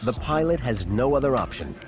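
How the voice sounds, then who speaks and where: A man reading scripted documentary narration in a measured, suspenseful storytelling manner. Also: medium pitch, big pitch swings. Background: background music.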